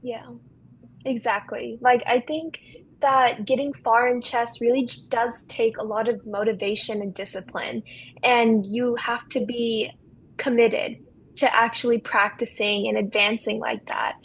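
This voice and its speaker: A woman's voice: a little high, flat delivery, measured pace, a little hiss or hum, poor audio. A woman hosting an interview, asking hesitant questions that she rephrases as she goes. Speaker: interviewer, podcast host.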